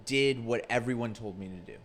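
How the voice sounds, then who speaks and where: A man around thirty, a guest comedian in casual podcast chat, talking in a measured, reflective way. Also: medium pitch, a bit bright, slight background noise. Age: 30s.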